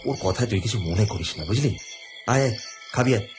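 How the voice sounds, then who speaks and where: A man acts out an anxious, placating husband in a horror radio play. His delivery is hesitant and homely, full of little sighs, with very lively intonation. Music plays underneath. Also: lowish voice, fast, close to an everyday voice. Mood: placating, kindly. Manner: hesitant, colloquial, punctuated with sighs and fillers. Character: harried middle-aged husband, placating, anxious, gossipy. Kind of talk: Bengali audio horror story acting.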